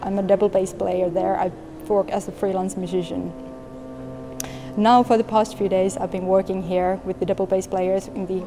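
A woman from Finland in her thirties, a double bass coach, speaking thoughtfully and searching for words in a documentary interview. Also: background noise. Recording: music bed underneath.

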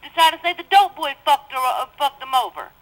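A woman's voice: high-pitched, expressive, a little fast, low-quality recording. A woman calling from jail on the phone, agitated and heavily profane, arguing with her mother over her court case. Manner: agitated, profane, talks over the other speaker. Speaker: inmate on a jail phone call. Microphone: jail telephone.

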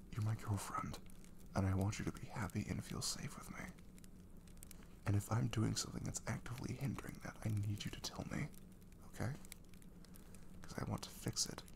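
A man playing a caring, reassuring young boyfriend in an ASMR roleplay, speaking gently and hesitantly to his nervous girlfriend. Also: on the low side, gravelly. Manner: hesitant, gentle, soothing, with soft chuckles.

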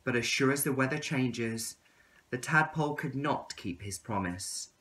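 A man in his thirties reading a storybook aloud to young children in an expressive, deliberate way. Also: medium pitch, slight background noise.

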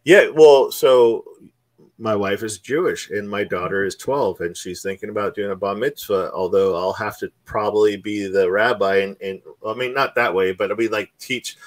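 A man's voice: lowish voice, moderate pace, no echo. A man in his forties from Oregon, co-hosting a casual book club chat over a video call, talking in earnest, rambling runs with many restarts. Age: 40s. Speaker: book club podcast co-host. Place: small home room. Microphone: studio mic on stand with headphones.

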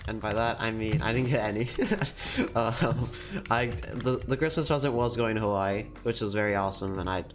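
A teenage boy with a stuffy nose from a cold, chatting casually and cheerfully while commentating his gameplay at a desk microphone. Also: lowish voice. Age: teens. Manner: chatty, upbeat, quick laughs. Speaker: gaming YouTuber. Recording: music playing under the voice. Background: background music and keyboard typing.

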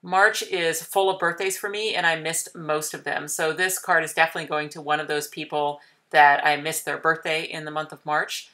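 Low, bright-voiced woman teaching a craft. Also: fairly even intonation, normal speed, hardly any pauses. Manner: gentle, patient, explanatory. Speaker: craft tutorial host. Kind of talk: calm step-by-step craft demonstration.

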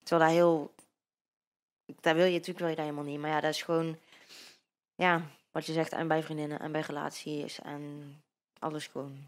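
A woman's voice: deep voice, flat delivery, fairly quick. A 22-year-old woman with a Dutch accent, a guest in a casual interview, talking hesitantly with many fillers and restarts into a handheld mic. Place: bright living-room studio with sofa. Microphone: handheld microphone.

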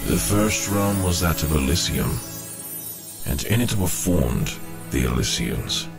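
A grave, ancient storyteller for an epic fantasy audio drama. He is extremely deep and creaky, delivering each phrase slowly and portentously. Loud music swells behind him. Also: animated, heavily acted. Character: solemn ancient male storyteller of gods and creation.

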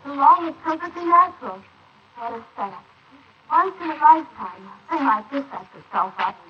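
An actress playing a brazen, impatient, scheming bride in a 1940s radio drama style on an old recording. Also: female voice, high voice, animated, normal speed. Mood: smug, scheming. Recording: noisy muffled 1948 wire recording of transcription discs.

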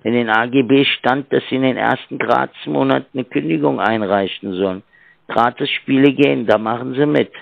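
A man calling as a sales agent by phone, halting and fumbling with fillers, heard over a tinny phone line. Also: mid-range voice, creaky, dry recording. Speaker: scam caller. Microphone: telephone.

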